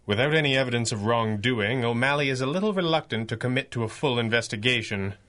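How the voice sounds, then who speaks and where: Guy playing 1930s radio superhero boss, formal n dry, bright punchy voice, takes charge. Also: mid-range voice, fast, lightly acted. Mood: dry, thoughtful. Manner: formal, wordy, dryly understated. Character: masked male mystery-man hero, clever, dry, commanding. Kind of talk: 1930s-style radio adventure drama acting.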